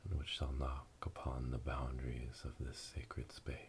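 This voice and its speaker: A man playing a solemn witch elder leading an initiation rite in a breathy, whispered ASMR roleplay, speaking slowly and ceremonially. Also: flat delivery. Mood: solemn, hushed. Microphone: close binaural microphone. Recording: close binaural, rumbling noise, clicks.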